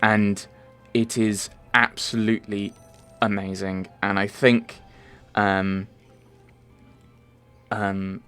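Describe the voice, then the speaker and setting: A man's voice: fairly low pitch, flat delivery, drawn-out words, a bit bright, smooth. A young man from Merseyside answering viewer questions in a casual, rambling voice-over, with many ums and self-corrections. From Merseyside, England. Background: faint game music.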